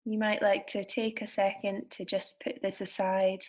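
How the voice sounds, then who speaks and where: A woman leading a guided meditation, speaking in a soothing, gentle manner with unhurried phrases and pauses. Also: medium pitch, little pitch movement.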